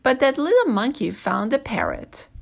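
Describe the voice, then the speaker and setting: A woman's voice: fairly high pitch, very animated, normal speed, creaky, pitched above the performer's own voice, exaggerated voice. A friendly, helpful zookeeper lady as a woman storyteller puts her on from a children's storybook. She speaks in an encouraging, kindly way. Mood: excited.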